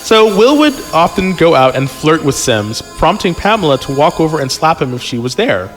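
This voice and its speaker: A man's voice: on the high side, very animated, normal speed, faint music behind. A man reading a story aloud on a podcast in an informal, amused way, breaking off for chatty asides.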